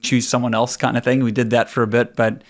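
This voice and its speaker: A man's voice: mid-range voice, fast. South African pastor telling his story. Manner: relaxed, storytelling, laughs easily. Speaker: pastor, podcast guest. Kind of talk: casual conversational interview.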